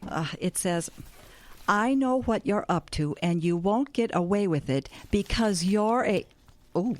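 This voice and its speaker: A woman's voice: mid-range voice, animated, measured pace, lightly acted. An actress playing an airy, stubborn older hippie grandmother with a wry, theatrical manner in an audio comedy drama. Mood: wry, curious.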